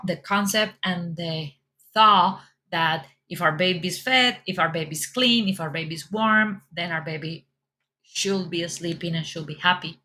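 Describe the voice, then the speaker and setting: Colombian mom coach, bright clear voice, kinda low pitch, talks reassuring n repeats stuff. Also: female voice. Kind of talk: casual advice talk.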